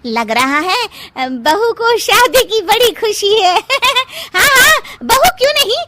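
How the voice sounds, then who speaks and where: A woman's voice: very high voice, big pitch swings, slow, very noisy, voice pushed up, full character voice. A woman voicing a bossy, status-obsessed middle-aged mother-in-law in a cartoon moral story, with an emphatic delivery. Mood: excited. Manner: gushing, scolding, emphatic.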